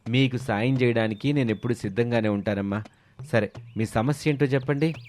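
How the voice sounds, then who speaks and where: A man playing a sly, smooth-talking village drinker in an animated moral story. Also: mid-range voice, a little fast, natural voice. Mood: smooth, reassuring. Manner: wheedling, scheming, reassuring.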